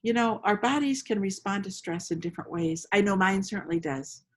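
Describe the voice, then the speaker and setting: A woman leading a guided meditation as host, speaking slowly and invitingly with long pauses, in a gentle storytelling style. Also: mid-range voice, expressive. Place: outdoors among roses.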